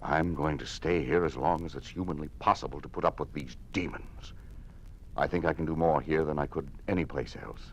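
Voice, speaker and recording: Weary statesman, extremely low voice, creaky, grave, measured, vintage radio drama, light hiss. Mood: grave, earnest. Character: weary, burdened official resisting a tyrannical regime. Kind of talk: old-time radio drama acting. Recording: noisy old radio drama recording.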